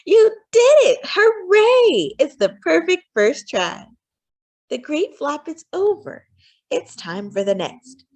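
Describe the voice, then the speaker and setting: Woman acting a spirited old great-great-aunt, animated. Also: extremely high pitch, slow pace, voice pushed up, heavily acted. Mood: jubilant. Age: older. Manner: exuberant, encouraging. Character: spirited elderly great-great-aunt, wistful yet encouraging. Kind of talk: children's storybook character voice.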